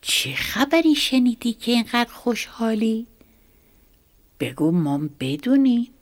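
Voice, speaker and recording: A woman voicing a calm, clever plump rooster in a children's storybook tale, speaking in an unhurried, cool way. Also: fairly high pitch.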